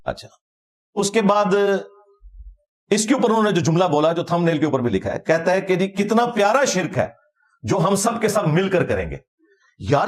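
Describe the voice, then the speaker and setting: A man with a Pakistani Punjabi accent giving informal religious commentary in an argumentative, sarcastic, colloquial way. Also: high-pitched. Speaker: religious lecturer.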